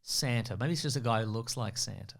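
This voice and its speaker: A man's voice: mid-range voice, a little fast, vocal fry. An Australian man trading casual comedic banter as a co-host, with a wry, mock-indignant manner. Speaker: podcast co-host, quiz contestant.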